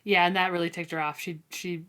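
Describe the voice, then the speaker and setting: A woman's voice: fairly low pitch, flat delivery, fairly quick, fairly bright tone. A woman co-hosting a book discussion in a casual, conversational way. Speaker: podcast co-host.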